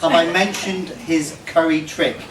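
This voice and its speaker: A man with a fairly high voice recites a humorous rhyming tribute at an unhurried pace. He reads it out to a party crowd in measured lines. The sound is roomy. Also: steady tone, fairly bright tone. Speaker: reader of a birthday tribute poem. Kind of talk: reading a comic rhyming poem aloud to a live gathering. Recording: roomy, noisy live recording from far off.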